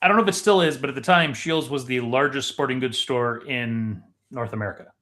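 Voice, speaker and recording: A man's voice: mid-range voice, fairly quick, sharp tone. A man co-hosting a podcast, talking in casual conversation. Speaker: podcast co-host. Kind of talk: casual chat.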